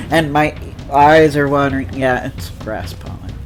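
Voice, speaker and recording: A man's voice: medium pitch, measured pace, soft background music. A man with a nasal voice chatting casually while playing a game, rambling with lots of fillers and laughs. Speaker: let's play co-host. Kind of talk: casual chat over gameplay.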